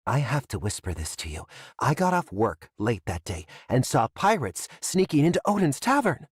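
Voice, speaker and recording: An actor playing a gossipy, imaginative townsman in an anime game, with a husky, conspiratorial delivery. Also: mid-range voice, wildly swooping pitch, moderate pace, no room sound, studio quality, full character voice. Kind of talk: English anime game voice acting.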